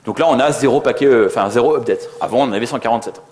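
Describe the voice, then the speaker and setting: A man's voice: mid-range voice, rapid-fire, darkish tone. A man giving an informal technical conference talk in a slangy, conversational way, often acting out little dialogues. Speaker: conference co-speaker, software developer.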